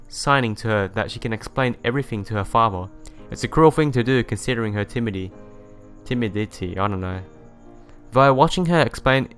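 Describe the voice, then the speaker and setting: A man's voice: medium pitch, fairly bright tone, clean tone. A man hosting a let's play, reading visual novel narration aloud and sometimes stumbling and correcting himself.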